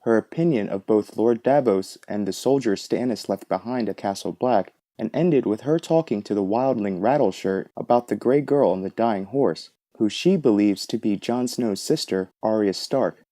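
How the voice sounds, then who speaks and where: Very dark, mellow male podcast host. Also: lowish voice, moderate pace, hardly any pauses, no room sound. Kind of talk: scripted analytical commentary.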